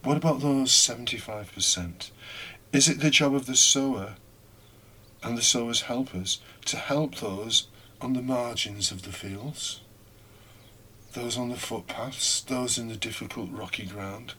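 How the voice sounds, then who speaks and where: Male homilist, forceful edge, measured slow delivery full of pauses and pointed questions. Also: mid-range voice, a little hiss or hum. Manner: deliberate, with pauses and rhetorical questions. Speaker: preacher giving a scripture reflection. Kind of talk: reflective homily.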